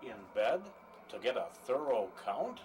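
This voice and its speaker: A man's voice: mid-range voice, sing-song, a little slow, a little mellow. A man playing a jealous, menacing betrayed husband in an audio drama, sarcastic and needling. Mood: bitterly sarcastic. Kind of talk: radio-play style dramatic acting. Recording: faint background noise.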